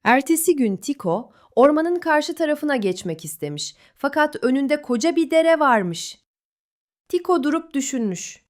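Woman storyteller, higher voice, sing-song bedtime reading. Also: sing-song, moderate pace.

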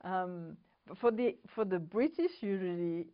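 A woman's voice: fairly low pitch, expressive, dull audio. French-accented woman presenting a TV show. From France. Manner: halting, searching for English words. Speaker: co-host. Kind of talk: casual presenting to camera. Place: studio set with bookshelves. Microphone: lapel.

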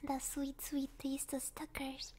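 Young asmr streamer girl, super high cutesy anime voice, breathy n whispery, really slow, right up on the mic. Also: completely flat delivery. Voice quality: soft, breathy, often whispering. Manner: cutesy, anime-style girlish delivery with Japanese catchphrases. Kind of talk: ASMR, casual livestream chat. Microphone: close binaural ASMR microphone.

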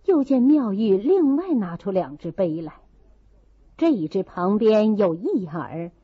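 A woman reading a classic novel aloud as an audiobook, with expressive dramatized narration. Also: medium pitch, unhurried, husky, dry recording. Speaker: audiobook reader. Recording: slightly dull, muffled recording.

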